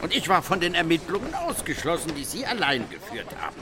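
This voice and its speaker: An actor in a radio play voicing a long-suffering, sullen assistant to a detective, dryly plaintive and grumbling. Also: male voice, on the high side, wildly swooping pitch, fast, background noise. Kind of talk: radio drama acting.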